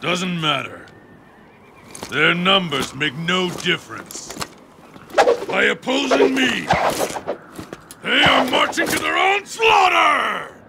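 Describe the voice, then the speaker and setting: A merciless, arrogant warrior in a game cutscene, high-pitched and gravelly. He speaks with slow scorn over clear background noise. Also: very animated, shouting, exaggerated voice. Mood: scornful menace. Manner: scornful, booming declarations. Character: arrogant, menacing male warrior, mighty and merciless. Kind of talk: dramatic game cutscene acting.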